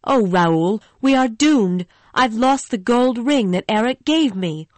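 A woman's voice: fairly low pitch, animated, measured pace, a little mellow, no room sound. A woman voicing a frightened young female opera singer in an audiobook, with earnest, pleading delivery. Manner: earnest, pleading dialogue reading. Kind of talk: audiobook dialogue acting.

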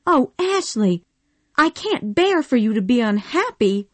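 A woman voicing an impulsive, emotional young Southern woman in a storybook reading, speaking in a high pleading voice. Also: sing-song, a little slow, dry recording. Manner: pleading and tearful, high and bright.

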